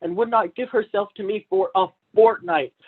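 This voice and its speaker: A man's voice: sits high, moderate pace, husky, phone-quality sound. A young man, a student actor, playing a pompous, vain, blustering poet and husband in a staged reading of a period comedy, speaking with stiff formality. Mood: aggrieved, vain. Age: young adult.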